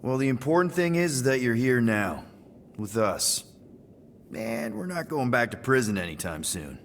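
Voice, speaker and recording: An actor playing a casual, wisecracking space adventurer in a video game, with an informal, flippant way of talking. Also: male voice, medium pitch, sing-song, a little slow, a little hiss or hum. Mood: curious. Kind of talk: video game cutscene acting.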